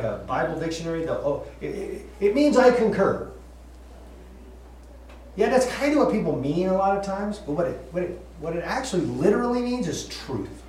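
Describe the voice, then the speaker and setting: A man teaching a casual Bible study to a group, chatty and quick to laugh, often repeating and restarting his words. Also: a little high, a little hiss or hum. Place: room with group listening. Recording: echoey room, voice from far off.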